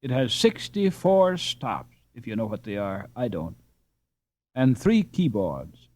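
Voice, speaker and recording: A man voicing a brisk, knowledgeable Dutch boy who interprets and explains. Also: mid-range voice, very animated, a little mellow, pitched below the performer's own voice. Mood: explaining. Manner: brisk, informative.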